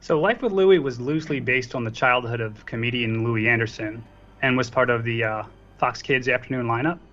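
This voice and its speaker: A man's voice: medium pitch, a little fast, fairly bright tone. A man chatting casually as a guest on a podcast. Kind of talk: casual chat. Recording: slightly dull lo-fi mic sound.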